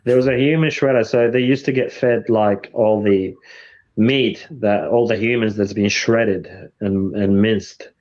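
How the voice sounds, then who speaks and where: Halting man from Iraq, dull lo-fi sound. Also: lowish voice, steady tone, a little mellow. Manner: halting, with repeated words. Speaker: guest. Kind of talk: casual conversation.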